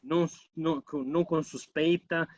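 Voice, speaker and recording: Italian man, halting mixed-language speech. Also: fairly high pitch, steady tone, darkish tone, highs cut off, a little hiss or hum. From Italy. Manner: halting mix of Portuguese and Spanish, many restarts. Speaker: interview guest, researcher. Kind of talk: casual interview over a call.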